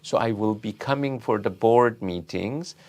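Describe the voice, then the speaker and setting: A middle-aged or older man from Iran speaking as an interview guest, telling anecdotes in a halting, deliberate way. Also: fairly low pitch, a little mellow. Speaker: interview guest, tech company founder and CEO.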